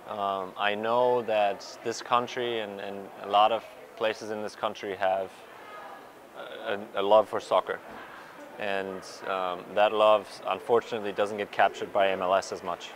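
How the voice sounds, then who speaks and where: Low, flat-voiced young man in a postgame scrum. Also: measured pace, a little hiss or hum. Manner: hesitant, many fillers and restarts. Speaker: pro soccer player. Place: stadium media area in front of sponsor backdrop. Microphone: reporters' handheld mics and recorders held close.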